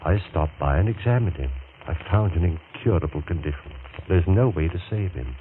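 An actor playing a vindictive, scheming male surgeon in a 1940s radio drama, measured and insinuating. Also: sits low, expressive. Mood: persuasive. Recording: tinny old radio broadcast with faint hiss.

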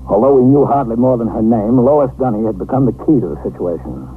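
A man acting a steady, matter-of-fact New York police captain in a 1950s radio crime drama. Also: medium pitch, quick pace, slightly rough. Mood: grave narration. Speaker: actor. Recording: tinny, faintly noisy 1950s radio broadcast.

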